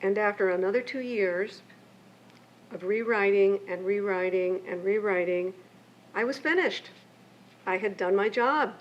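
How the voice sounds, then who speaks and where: An older woman, raised between Mexico and the United States, reads a prepared keynote speech in a measured, reflective way from her pages. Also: medium pitch. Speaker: keynote speaker and memoirist. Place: lecture event. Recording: muffled lo-fi with faint hiss.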